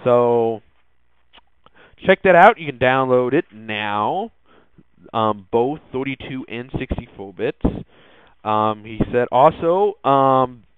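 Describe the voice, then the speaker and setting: A man hosting a casual tech podcast in a hesitant, halting way, with frequent ums and false starts, on muffled lo-fi audio. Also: mid-range voice, animated, clean tone, no echo. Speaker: podcast host.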